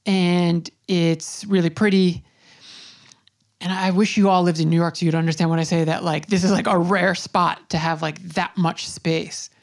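A woman's voice: on the low side, level tone, moderate pace. A woman hosting a podcast, chatty and upbeat, with plenty of fillers. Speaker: podcast host. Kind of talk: casual chat and intro monologue.

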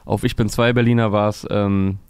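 Low smooth guy breaking down rap intros, chatty, lots of uhs, dry close sound. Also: flat delivery, moderate pace, darkish tone, no room sound. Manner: fluent, analytical, many "äh" fillers. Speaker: podcast host reviewing rap releases. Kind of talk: casual music review chat.

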